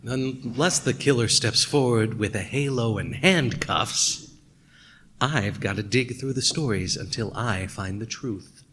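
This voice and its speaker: An actor playing a wry, hard-boiled male detective in a noir radio play, with a dry, deadpan delivery. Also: mid-range voice, unhurried, background noise, natural voice. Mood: dry, probing. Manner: dry, world-weary, deadpan. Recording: music behind the voice.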